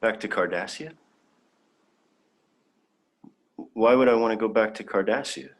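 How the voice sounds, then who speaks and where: A man's voice: lowish voice, fairly quick, close to an everyday voice. A young man playing a naive, idealistic alien youth in a dramatic reading, earnest and prone to stammering. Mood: puzzled. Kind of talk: dramatic fan fiction reading.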